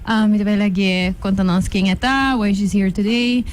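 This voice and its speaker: A woman hosts a radio show in a chatty, upbeat way. She laughs easily and slips between languages mid-sentence. Her pitch is ordinary for a woman, with a faint noise in the background. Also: steady tone, moderate pace. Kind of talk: bilingual radio interview.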